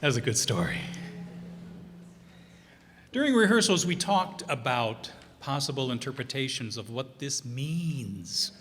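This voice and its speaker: A man's voice: medium pitch, very animated, measured pace, pauses often, fairly bright tone, a little hiss or hum. A man presenting informally after a play, with an emphatic, questioning delivery. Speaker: radio players' presenter. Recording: reverberant hall.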